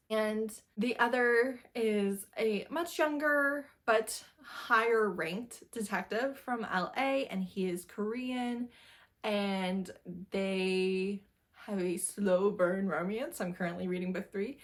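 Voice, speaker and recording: A woman talks casually, as a vlogger running through recent reads. Her voice sits at an average pitch for a woman, and her manner is informal and candid, with wry asides. It sounds like an opinion shared plainly and conversationally. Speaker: book reviewer, vlogger. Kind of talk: casual chatty book wrap-up.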